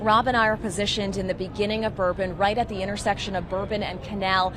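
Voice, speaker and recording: A woman's voice: medium pitch, fairly even intonation, fast talker. A woman working as a TV news reporter, delivering a live field report outdoors on a city street. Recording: outdoor street noise, traffic.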